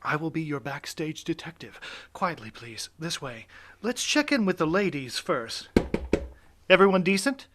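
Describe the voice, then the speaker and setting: A man's voice: on the high side, expressive, measured pace, heavy background noise. A man hosting a live audio play, narrating backstage in a playful, effusive, mock-suspenseful theatrical manner. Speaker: host, director and adapter of a live audio play.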